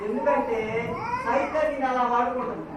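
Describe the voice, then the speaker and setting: A woman hosting a children's puppet show as a Bible teacher, instructing and gently scolding. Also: high voice, steady tone, fairly quick. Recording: echoey hall from far off, noisy.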